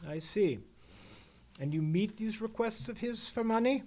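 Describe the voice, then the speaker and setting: A man playing a shrewd gentleman detective in a live radio play, speaking formally and precisely as he questions. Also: sits high, expressive, a little slow. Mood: keen, probing. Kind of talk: live old-time radio play acting.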